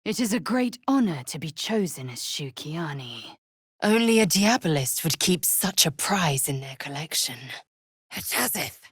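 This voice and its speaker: An actress voicing a fierce, proud alien warrior woman in a video game, husky and clipped, with a haughty formal delivery. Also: low-pitched, very animated, unhurried, dry recording, clean studio sound, full character voice. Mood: reverent, proud. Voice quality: husky, breathy rasp. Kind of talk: video game character acting.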